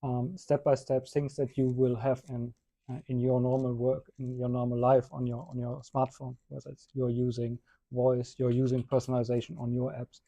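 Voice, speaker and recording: A man speaking as a panel guest, relaxed and a little hesitant in his phrasing. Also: medium pitch, normal speed, gravelly, no room sound. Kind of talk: panel discussion.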